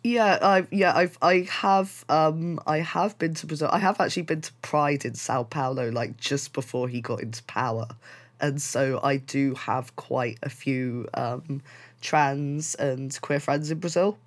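A man in casual conversation, chatty and quick to laugh, with many fillers and restarts. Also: on the high side, fairly even intonation. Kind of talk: casual chat.

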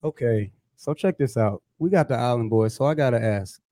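A man with a Miami accent hosting a podcast, talking in a hyped, animated way and laughing often. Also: medium pitch, moderate pace, over music. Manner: hype, animated, laughs a lot. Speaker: podcast host. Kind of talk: casual interview chat.

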